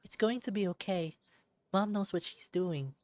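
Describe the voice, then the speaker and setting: Lady doing a little fox boy for a visual novel, high voice, naive n earnest, super dry sound. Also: normal speed, a little mellow, no echo, natural voice. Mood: reassuring. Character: young fox boy, earnest, curious, innocent. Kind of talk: character voices in a visual novel read-aloud.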